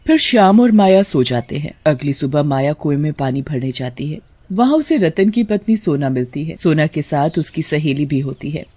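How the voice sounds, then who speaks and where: A woman's voice: sits low, expressive, normal speed, mellow. A woman storyteller reading scripted narration for a horror tale.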